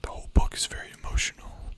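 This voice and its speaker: A young adult streamer whispering ASMR-style, chatting casually with chat, full of filler words and the odd swear. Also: fast talker.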